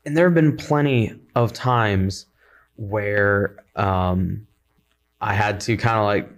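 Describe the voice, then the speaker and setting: A man in his twenties, a worship leader and college student, chatting casually on a podcast, relaxed and rambling with many fillers. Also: lowish voice. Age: 20s.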